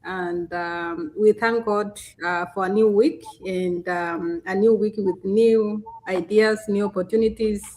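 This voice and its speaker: A woman's voice: mid-range voice, lively intonation, a little slow, few pauses, darkish tone, a little hiss or hum, low-quality recording. Woman hosting a live stream. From Kenya. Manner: chatty, many fillers and restarts.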